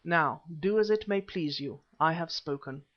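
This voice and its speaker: A man voicing a seasoned hunter, humble, formal and measured, in an audiobook adventure story. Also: a little high, natural voice. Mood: measured, respectful. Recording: slightly dull, lo-fi audio.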